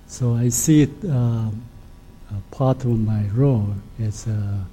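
A middle-aged man from Korea with a Korean accent, an ambassador giving a formal lecture in a halting, soft-spoken way. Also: medium pitch, a little hiss or hum. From South Korea. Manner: halting, with frequent hesitations.

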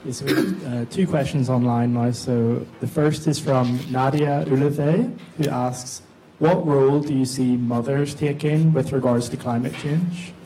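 A man moderating a panel who reads out online questions in a measured way. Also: mid-range voice, steady tone, dark tone, a little hiss or hum, poor audio.

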